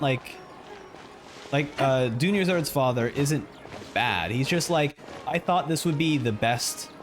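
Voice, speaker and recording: A man streaming a game, giving casual, rambling live reactions and commentary. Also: medium pitch.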